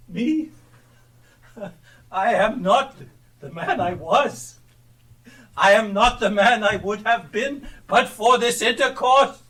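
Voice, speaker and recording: A repentant old miser , played by a man, pleads in a high, frightened voice. The delivery is slow and halting, with words repeated in dread. It is theatrical stage acting, caught at a distance from the microphone. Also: fairly bright tone, full character voice. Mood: anguished pleading. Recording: distant live stage recording.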